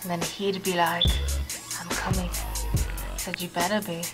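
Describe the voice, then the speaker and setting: A woman's voice: fairly low pitch, little pitch movement, unhurried, over loud music, voice pushed down, lightly acted. A woman voicing a smitten, eccentric young man who mutters and rambles in an amateur puppet show.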